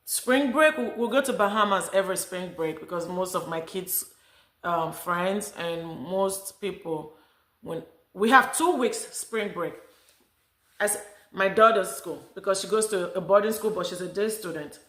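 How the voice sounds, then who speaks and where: A woman's voice: on the low side, a little slow, fairly bright tone. A middle-aged woman from Africa talking casually to camera as a vlogger, rambling with many restarts. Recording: echoey room.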